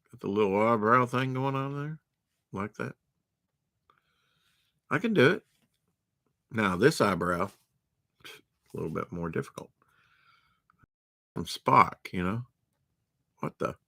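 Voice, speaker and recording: A man's voice: on the low side, lively intonation, slow pace, gravelly, long pauses, sharp tone, dry recording, clean studio sound. A man hosting a late-night storytime, reading a storybook aloud and breaking off into rambling, sarcastic asides. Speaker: storytime host.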